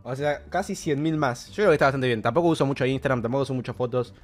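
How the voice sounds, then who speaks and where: A man in his twenties with an Argentine accent, chatting casually on a livestream from a small bedroom setup with a close boom mic. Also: fast. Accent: Argentine Rioplatense Spanish. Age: 20s. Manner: chatty, jokey, reacting to his old clips. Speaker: streamer. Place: small bedroom streaming setup with blue lights. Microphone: boom mic close to his face. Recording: clean streaming mic with faint background music. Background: soft background music.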